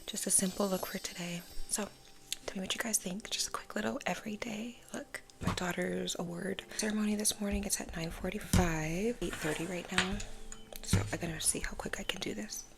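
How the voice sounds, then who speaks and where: A woman in her thirties, sleepy and just up, chatting casually in a soft whisper while doing her makeup at home. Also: mid-range voice, fairly even intonation, a little fast, vocal fry. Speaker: vlogger. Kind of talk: soft-spoken whispered get-ready-with-me vlog.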